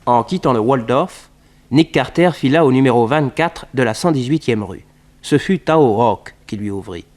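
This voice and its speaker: A man's voice: medium pitch, expressive, normal speed, darkish tone, close to an everyday voice. A man delivering scripted narration for a 1960s French detective radio serial.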